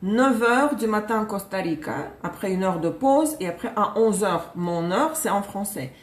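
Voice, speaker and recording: A woman's voice: medium pitch, lively intonation, normal speed. A woman reading tarot cards on a live stream, chatty, laughing and correcting herself as she goes. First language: Russian. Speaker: tarot reader. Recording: echoey room.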